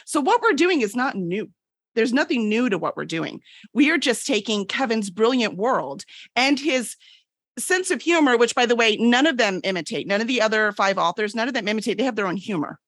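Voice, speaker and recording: A woman's voice: fairly high pitch, lively intonation, brisk, fairly bright tone, no echo. A woman guest in a casual interview, explaining things emphatically and often checking in with the listener. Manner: emphatic, peppers points with "right?". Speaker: podcast guest, book publisher.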